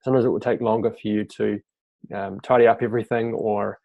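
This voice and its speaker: Nz guy running a webinar q&a, lowish gravelly voice, reads questions then just chats through answers. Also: brisk, no echo, lo-fi sound. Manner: relaxed, conversational, reads out questions then answers them off the cuff. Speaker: fellowship team member hosting a webinar Q&A.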